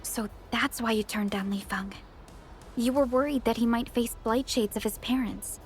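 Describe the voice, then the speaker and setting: Woman acting a composed, higher-voiced female officer. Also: steady tone, moderate pace, faint music behind, close to an everyday voice. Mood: thoughtful. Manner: composed, formal, thoughtful. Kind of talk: scripted video game dialogue.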